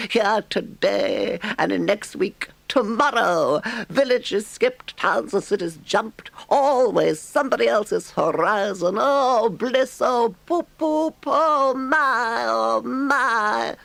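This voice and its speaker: A man's voice: very high-pitched, creaky, a bit bright, no echo, voice pushed up, exaggerated voice. A man voicing a boastful, dreamy male toad who is in love with motor cars, speaking in a rapturous, grandiose way. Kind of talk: audiobook character voice.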